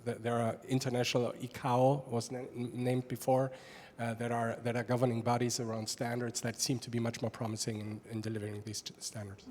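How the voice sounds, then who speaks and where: Man, panelist, measured, explanatory, mid pitch, echoing conference hall. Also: flat delivery, darkish tone, slight background noise. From Europe. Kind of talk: panel discussion answer. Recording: reverberant conference hall with faint room ambience.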